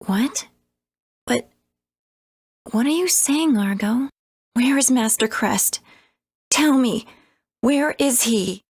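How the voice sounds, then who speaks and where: A woman voicing a fragile, devoted young girl apprentice in a video game, breathy and hesitant. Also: a little high, animated, darkish tone, no room sound, studio quality, heavily acted. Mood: distressed disbelief. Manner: hesitant, halting.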